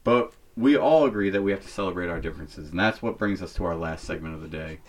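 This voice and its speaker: A man's voice: low voice, fast. A man co-hosting a casual comedy podcast, steering the talk and laughing often. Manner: animated, laughs often, leads the games. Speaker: podcast co-host.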